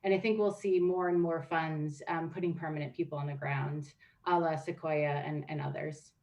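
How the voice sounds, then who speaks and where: A woman speaking as an investor on a panel, steady and hedging with "um". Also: low voice, moderate pace, far from the mic, lo-fi sound. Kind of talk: panel discussion.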